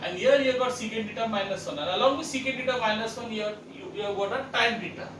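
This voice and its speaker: A man's voice: sits high, recorded from a distance. A man teaching a math lesson, walking students through each step with repeated questions. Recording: echoey room, voice set back from the mic, background noise.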